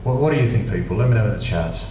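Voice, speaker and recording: Deep-voiced British man rambling over gameplay. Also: lively intonation, very fast. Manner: dry, rambling, self-mocking asides. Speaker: gaming channel host. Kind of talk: casual gameplay commentary. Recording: echoey room, distant mic, noisy.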